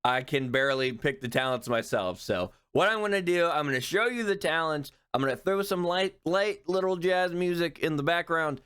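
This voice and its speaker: A man's voice: on the high side, expressive, normal speed, few pauses, a bit bright, smooth. A man casually narrating a game overview as a youtuber, offhand and self-deprecating, swearing freely. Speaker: gaming youtuber.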